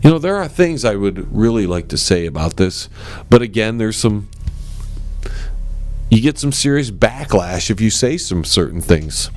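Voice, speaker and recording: A man hosting his own talk show, with a strong voice, delivering a forceful, animated opinion monologue straight to his listeners. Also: normal speed, a little hiss or hum. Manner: animated and forceful, swings up in pitch; addresses listeners as ladies and gentlemen.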